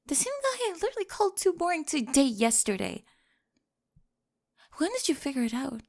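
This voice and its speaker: A woman playing a remorseful, lovestruck high school cheerleader in an ASMR roleplay, breathy and hesitant, often dropping to a whisper. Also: sing-song, brisk. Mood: flustered, disbelieving. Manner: hesitant, emotional, confessional. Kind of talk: ASMR romantic roleplay monologue.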